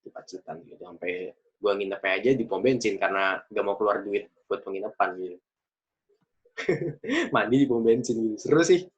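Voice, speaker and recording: A man of about twenty, hosting a casual podcast chat, chatty and quick to laugh. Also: lowish voice, husky. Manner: chatty, laughs often, loose slangy talk. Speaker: podcast host. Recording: dull lo-fi recording.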